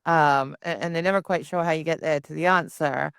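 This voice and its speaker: A woman's voice: sits low, fairly even intonation, brisk, gravelly, a bit bright, clear, resonant tone, dry recording, studio quality. A woman hosting a podcast interview, chatty and thinking aloud. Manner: chatty, talks through ideas aloud. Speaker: podcast host. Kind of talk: casual interview chat.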